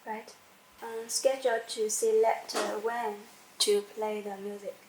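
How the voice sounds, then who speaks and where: A woman giving an unscripted step-by-step software demonstration, halting as she searches for words and repeats phrases. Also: mid-range voice, level tone. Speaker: software tutorial presenter. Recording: echoey room with faint background noise.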